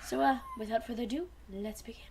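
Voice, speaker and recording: A woman's voice: a little high, fast talker, gravelly, a little mellow, slight background noise. A young woman co-hosting a fan fiction show, chatting casually with friends in a joking, opinionated way. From Alaska, USA.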